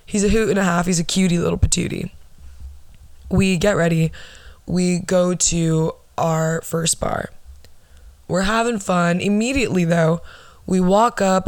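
A young woman hosts her own podcast with a low alto voice, in a casual, rambling way. She swears freely and pokes fun at herself. The sound is dry and close, with no room around it. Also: a little mellow, no room sound. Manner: rambling, profane, self-mocking. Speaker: podcast host. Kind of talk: casual storytelling monologue.

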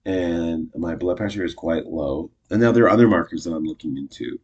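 A man's voice: deep voice, brisk, darkish tone, husky, lo-fi sound. A man in his fifties talking casually to camera, rambling and correcting himself often in an upbeat way. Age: 50s. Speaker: vlogger.

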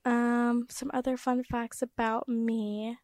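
A teenage high school girl, a guest in a casual interview, chatting with frequent fillers. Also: flat delivery, slow, darkish tone, dry recording, clean studio sound. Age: teens.

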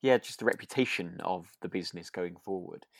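Male podcast co-host, casual and low-key, hesitant self-correcting talker, dry close recording. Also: brisk, creaky, no room sound. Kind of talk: casual chat about a radio drama.

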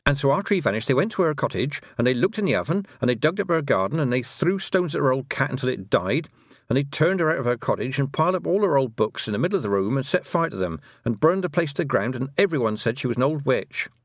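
A man's voice: mid-range voice, sing-song, fairly quick, no echo, studio quality, voice pushed up. A man voicing an earnest, stubborn and anxious young girl who hesitates, then blurts things out, in an audiobook. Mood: blurting, upset. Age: child. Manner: hesitant openings, then breathless rush of detail. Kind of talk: audiobook character dialogue.

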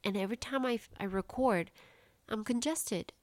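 A woman's voice: on the high side, moderate pace, no echo. A woman in her late twenties hosting a casual solo podcast, chatting in a bubbly way full of "like" fillers, with a stuffy, congested nose. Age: late 20s. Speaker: podcast host.

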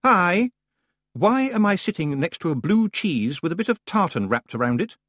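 A man's voice: sits high, very animated, moderate pace, a bit bright, no room sound, clean studio sound, pitched above the performer's own voice, exaggerated voice. A man voicing an earnest, grimly determined young boy hero in a storybook, with a blunt and serious manner. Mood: questioning.

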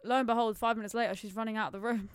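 Fast-talking young British woman. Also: fairly high pitch, clear, resonant tone, dry recording, studio quality. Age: young adult. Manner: sweary, slangy, animated storytelling with acted-out quotes. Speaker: podcast co-host. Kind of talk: casual chat, telling school anecdotes.

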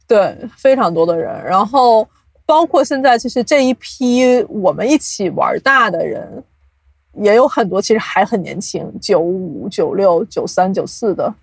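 A woman's voice: mid-range voice, expressive, unhurried, gravelly, husky, dry recording. A woman explaining at length, with examples, in a casual podcast interview as an invited guest. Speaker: guest, audio drama producer.

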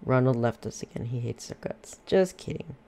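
A man's voice: mid-range voice, animated, normal speed, vocal fry, dark tone. A young man co-hosting a casual podcast, teasing his friends, laughing often and cussing freely. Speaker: podcast co-host. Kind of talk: casual chat among friends.

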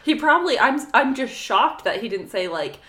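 Animated, high-voiced woman in comedic banter. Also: moderate pace. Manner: animated, giggly, jokey. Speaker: podcast co-host reacting to a story.

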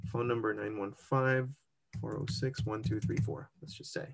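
A man's voice: fairly low pitch, steady tone, normal speed, gravelly, a little hiss or hum. A man co-hosting a casual online walkthrough of an application form, thinking aloud and laughing at his own slips. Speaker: co-host walking through an online immigration form. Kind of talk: casual screen-share walkthrough.

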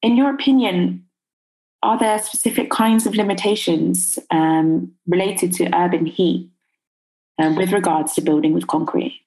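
Female podcast host, lower register, measured interview delivery. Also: darkish tone, poor audio.

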